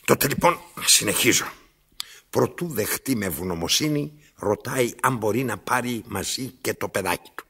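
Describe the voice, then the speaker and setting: A man's voice: lowish voice, lively intonation, creaky, bright, forceful tone, breathy edge, full character voice. An actor playing an imperious, querulous old man in radio theatre, theatrical and demanding, repeating himself. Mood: weary, brooding.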